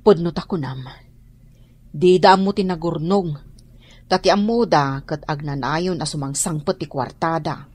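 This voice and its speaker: A woman's voice: low voice, a little slow, a little mellow, husky. An actress playing a judgmental, gossiping village woman in a radio drama. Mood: disapproving. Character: gossiping village woman, disapproving of a spendthrift family.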